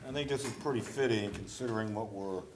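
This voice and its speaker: A man acting as mayor, chairing a city council meeting in a council chamber, brisk and plain-spoken with frequent fillers. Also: lowish voice, background noise. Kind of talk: formal meeting chair with announcements.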